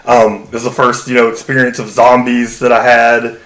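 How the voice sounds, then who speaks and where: Male co-host, mid pitch, rambling casual delivery, echoey room sound. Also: monotone, normal speed, fairly bright tone. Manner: rambling, full of fillers like "you know" and "like". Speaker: podcast co-host. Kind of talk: casual podcast chat.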